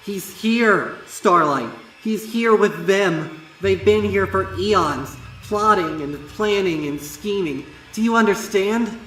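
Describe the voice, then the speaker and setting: A man voicing a female winged pony, awestruck and terrified, speaking in halting, breathless bursts. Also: high voice, fairly bright tone, slight background noise, voice pushed up. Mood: frantic. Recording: echoey, distant, music behind.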